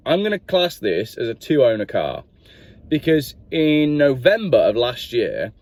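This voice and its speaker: A man's voice: medium pitch, sing-song, a little slow, darkish tone. A man talking through a car's history and receipts in a casual, chatty review vlog, often asking the viewer questions. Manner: chatty, rambling, asks viewers directly. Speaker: car reviewer.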